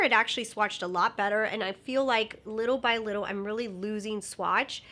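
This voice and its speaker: A young woman reviewing makeup in a chatty, bubbly way, her voice nasal and stuffed-up from a sinus infection. Her tone is bright and forceful, pitched a little above most women. She strings her thoughts together with plenty of casual fillers. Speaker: beauty vlogger.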